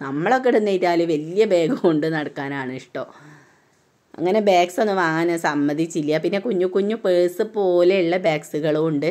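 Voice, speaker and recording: A low-voiced woman from Kerala narrates a shopping trip in easy, chatty Malayalam-accented speech. She films herself strolling a big indoor mall on her phone, picking up the open sound of a busy store. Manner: chatty, tags sentences with a soft "tto". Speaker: vlogger, mother touring a mall with her daughter. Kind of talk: casual walk-around vlog narration. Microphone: phone camera held while walking.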